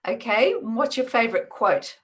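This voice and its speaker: A woman's voice: a little high, normal speed, fairly bright tone, slightly rough. Enthusiastic woman hosting a radio talk show. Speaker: host. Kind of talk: radio interview.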